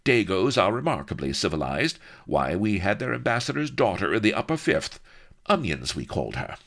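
A man's voice: fairly low pitch, big pitch swings, normal speed, creaky, fairly bright tone, voice pushed up, heavily acted. A man voicing a vain, imperious young noblewoman with a posh upper-class English accent in a comic story. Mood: haughty scorn. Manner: posh, affronted, commanding.

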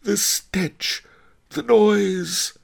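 Man doing a high, panting, fussy lord. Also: drawn-out words, vocal fry, full character voice. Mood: faint disgust. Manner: panting, weak, affected. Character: weary, fussy old lord feigning feebleness.

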